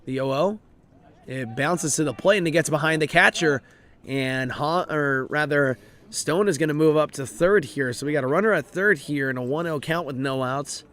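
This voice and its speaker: A man calling a college baseball game play by play, his voice raspy and hoarse from overuse, steady and informative, and lifting with the action on the field. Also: fairly high pitch, normal speed. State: hoarse, voice drained. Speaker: play-by-play announcer. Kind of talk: live baseball play-by-play commentary.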